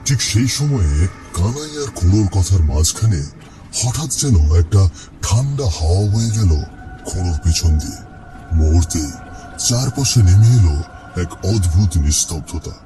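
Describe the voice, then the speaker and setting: Male storyteller for spooky cartoon: fairly low voice, suspenseful delivery, music clearly underneath. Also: expressive, normal speed. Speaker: narrator. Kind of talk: scripted horror story narration. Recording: music behind the voice.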